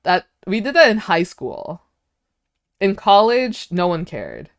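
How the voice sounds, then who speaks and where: A woman's voice: mid-range voice, sing-song, takes it slowly, no room sound, studio quality. Giggly young American woman streamer. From United States. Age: young adult. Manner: chatty, giggly, hesitant restarts. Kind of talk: casual chat with viewers.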